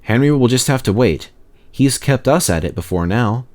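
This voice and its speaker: A man voicing a laconic, unflappable male engine driver in a children's storybook, brisk and matter-of-fact. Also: mid-range voice, expressive, a little mellow, close to an everyday voice. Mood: unbothered.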